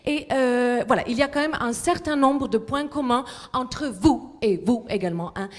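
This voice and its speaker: Brief: woman as a pompous female book observer, halting and filler-heavy, higher voice, live in an echoey hall. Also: lightly acted. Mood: rambling. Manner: hesitant, full of fillers and restarts. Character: rambling self-important female international book observer. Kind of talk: live comic sketch. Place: large hall at a library event.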